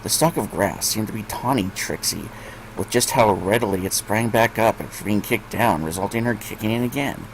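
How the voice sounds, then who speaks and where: A man's voice: fairly low pitch, brisk, slight background noise, low-quality recording. A man narrating fan fiction expressively, as a story reader.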